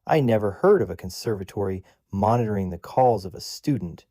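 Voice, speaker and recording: An earnest young man, as a man plays him speaks in a low, mellow voice with a faintly condescending, older-sibling manner. His tone rises and falls with feeling. The sound is dry and close. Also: animated, brisk, dry recording.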